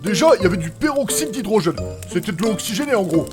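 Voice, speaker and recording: An actor playing an eccentric, touchy, pedantic chemist in a comedic audio drama, with blunt, slangy delivery. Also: male voice, high voice, animated, quick pace. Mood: insistent. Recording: music behind the voice.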